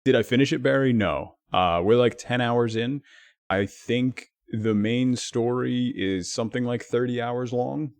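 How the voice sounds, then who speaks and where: A man streaming live, chatting casually with his viewers and thanking them by name, his talk full of lively swings up and down. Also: medium pitch, a little slow, no room sound.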